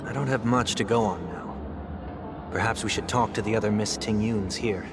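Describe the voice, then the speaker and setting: A man voicing a poised, courteous young man of authority in a game, with loud music behind. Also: fairly low pitch, normal speed, heavy background noise, close to an everyday voice. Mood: composed. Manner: refined, courteous, thoughtful.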